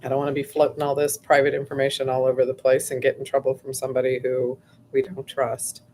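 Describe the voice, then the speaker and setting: A woman hosting a casual livestream, her voice going hoarse, rambling chattily with lots of fillers and frequent throat clearing. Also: a bass voice, completely flat delivery, quick pace, dark tone, a little hiss or hum. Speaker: host.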